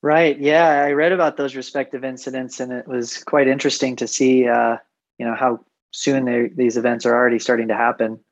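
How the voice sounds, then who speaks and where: A man's voice: mid-range voice, little pitch movement, a little fast, no room sound, dull audio. A man hosting an interview podcast, introducing and questioning his guest. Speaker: podcast host.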